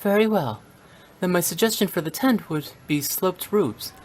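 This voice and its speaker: A young woman funeral director played by an actress speaks in a notably low register for a video game. She is composed and businesslike, laying things out in measured, precise phrases. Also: expressive, a little mellow, slight background noise, lightly acted. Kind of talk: English video game voice acting.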